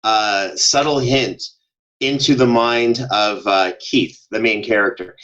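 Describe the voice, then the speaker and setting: A man speaking as a podcast guest in casual interview chat, telling anecdotes in an animated way and acting out exchanges. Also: on the low side, takes it slowly, highs cut off. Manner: animated storyteller, acts out quoted exchanges. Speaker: podcast guest, audio drama producer.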